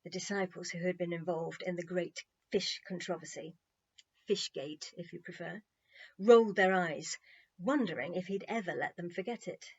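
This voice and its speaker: A woman's voice: on the low side, moderate pace, breathy edge, lo-fi sound. A woman narrating a children's story expressively, in a playful, wry, conversational way.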